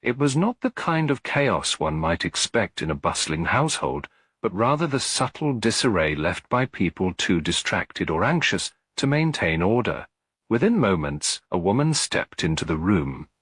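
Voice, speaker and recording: A man narrating a scripted mystery story in a measured, literary storytelling manner. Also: deep voice, sing-song, a bit bright, husky, dry recording, clean studio sound. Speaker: audiobook narrator.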